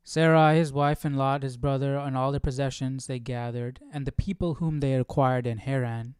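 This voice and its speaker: A man's voice: medium pitch, steady tone, normal speed, darkish tone, clean tone, no echo. A man hosting a live Bible study, casually reading aloud and questioning what he reads as he thinks it through. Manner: questioning, musing to himself.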